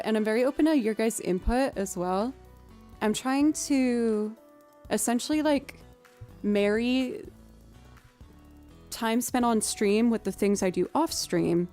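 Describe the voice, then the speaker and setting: Mellow-voiced woman chatting on stream. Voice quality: naturally low voice. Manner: chatty, thinking aloud, with fillers. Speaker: streamer and musician. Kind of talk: casual livestream chat.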